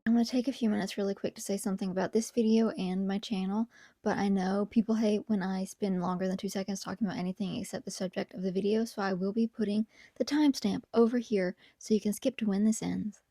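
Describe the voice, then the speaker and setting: A hoarse, tired woman whispering at home at night, chatting casually as host of her own channel. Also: medium pitch, steady tone, fast, dry recording. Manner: whispering softly; apologetic, tired but upbeat. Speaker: video essay host.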